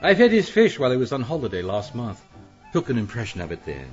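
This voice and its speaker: An actor playing a genteel, scheming British publisher in a radio mystery, speaking in an urbane, theatrical, condescending drawl. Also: male voice, mid-range voice, huge pitch swings, fast, a little mellow. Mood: smug scheming. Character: genteel, scheming widowed male publisher, calmly plotting murder. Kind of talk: radio mystery drama acting. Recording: dull band-limited audio with music.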